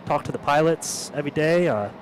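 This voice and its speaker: A man's voice: on the high side, expressive, brisk, a little hiss or hum, poor audio. A young man who works the airport ramp, chatting casually and easily about his job. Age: young adult. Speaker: ramp worker and guest. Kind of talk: casual chat.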